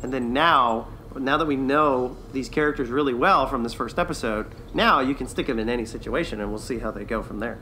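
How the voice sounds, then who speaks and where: A man's voice: mid-range voice, brisk, few pauses, bright, forceful tone, a little hiss or hum. A man co-hosting a review podcast, chatting casually and enthusiastically in an informal way. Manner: informal, enthusiastic, laughs often. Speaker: podcast co-host reviewing a TV series. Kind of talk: casual chat, review discussion.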